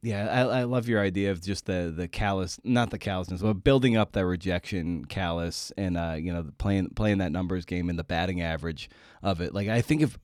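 A male podcast host speaks in a conversational interview style. His voice sits on the low side and is recorded very dry, with no room sound. He feels his way through sentences, rephrasing as he goes. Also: a little fast, darkish tone, no echo.

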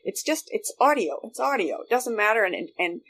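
A woman's voice: mid-range voice, very animated, brisk, a bit bright, husky, poor audio. A middle-aged woman in casual, rambling conversation as a podcast guest, often repeating her phrases. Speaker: podcast guest, former radio worker. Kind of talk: casual chat.

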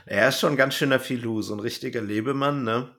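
A man's voice: medium pitch, a little fast, fairly bright tone. A man hosting a casual podcast, reviewing like an enthusiastic fan. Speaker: podcast host discussing an audio drama.

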